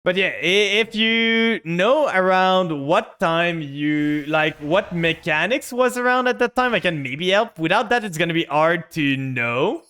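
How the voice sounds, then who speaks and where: A man's voice: high-pitched, expressive, unhurried, hardly any pauses, bright, forceful tone, clear, resonant tone. A man with a French-Canadian accent, streaming a card game and talking his moves through with chat. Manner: thinks aloud, narrating each play to chat. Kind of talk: casual game stream commentary.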